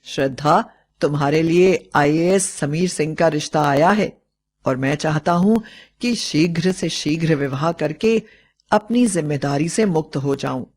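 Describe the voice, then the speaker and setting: Woman voicing a slow, sorrowful middle-aged stepfather. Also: deep voice, a little mellow, voice pushed down. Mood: earnest.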